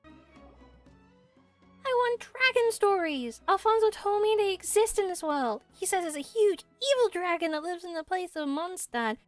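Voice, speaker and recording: A woman voicing excitable, boastful small village children in a game, eager and chirpy. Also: very high-pitched, a little slow, faint music behind.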